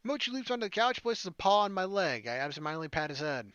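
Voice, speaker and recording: A man's voice: high voice, very animated, fast talker, a bit bright, smooth, no echo, muffled recording. A man hosting a gaming video, reading a visual novel aloud expressively and dropping in casual asides.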